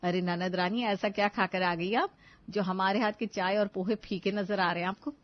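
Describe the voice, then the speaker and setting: A woman's voice: mid-range voice, steady tone, normal speed, dull audio, close to an everyday voice. A woman voicing a weary, wry elder daughter-in-law in a cartoon family story, teasing and scolding as she goes. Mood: reproachful. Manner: wry, scolding, put-upon. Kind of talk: animated family story acting.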